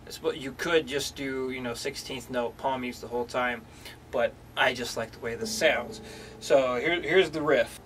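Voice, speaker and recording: A man giving a casual guitar lesson, relaxed, with fillers and restarts. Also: medium pitch, normal speed, far from the mic, background noise. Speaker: guitar teacher. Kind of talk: casual instructional talk. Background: guitar playing.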